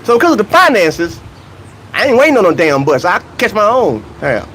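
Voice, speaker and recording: A man's voice: high-pitched, big pitch swings, normal speed, fairly bright tone, slight background noise. A young man rants in a casual, comedic way about track and field. He laughs between points and keeps asking rhetorical questions.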